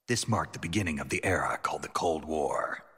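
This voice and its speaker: An actor playing a gruff, world-weary soldier in a video game, speaking gravely and deliberately in a gravelly rasp. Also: male voice, very deep voice, expressive, bright, forceful tone, big-room sound, full character voice. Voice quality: gravelly, raspy. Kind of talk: dramatic video game voice acting.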